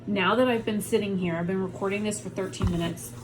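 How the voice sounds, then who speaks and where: A woman's voice: mid-range voice, steady tone, fast talker, noisy background. A woman vlogger talking casually and frankly to the camera from home while in pain, rambling about her day. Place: at home on a heating pad.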